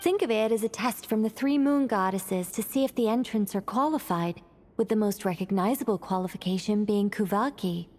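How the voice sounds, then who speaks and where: An actress playing a calm, methodical woman scholar in a video game, speaking in a composed, precise, explanatory manner. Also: medium pitch, moderate pace, lightly acted. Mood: explaining. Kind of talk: video game cutscene voice acting.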